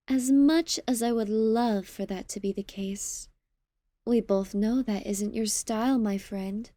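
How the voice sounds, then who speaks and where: A woman playing a regal, wry queen in an audio drama, with a measured, haughty and teasing manner. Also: fairly high pitch, mellow, no room sound. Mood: condescending, stern. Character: regal, wry queen, fond but condescending.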